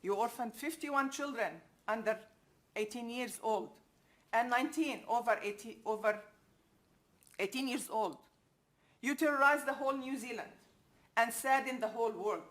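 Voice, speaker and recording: A bereaved woman reading a prepared statement aloud in a grave, deliberate way, addressing someone directly. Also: a little high, a bit bright. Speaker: bereaved relative reading a victim impact statement.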